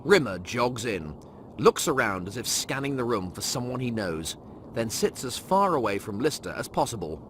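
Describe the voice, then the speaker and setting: A man reads scripted comic narration as an audiobook narrator. His mid-range voice is animated, moving briskly between stage directions, with faint noise in the background. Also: medium pitch.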